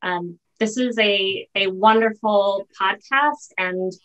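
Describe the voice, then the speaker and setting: Woman host, forties, mid-range pitch, deliberate halting phrases, video call. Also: fairly bright tone, low-quality recording. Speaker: interview host. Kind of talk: conversational hosting. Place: home room.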